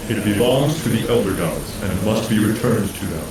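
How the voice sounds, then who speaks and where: An actor playing a solemn, commanding spirit guide in a video game, speaking gravely and formally in an echoing, distant, noisy sound. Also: male voice, fairly low pitch, animated, moderate pace, close to an everyday voice. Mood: solemn, instructive. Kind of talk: video game cutscene acting. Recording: echoing distant voice with clear noise.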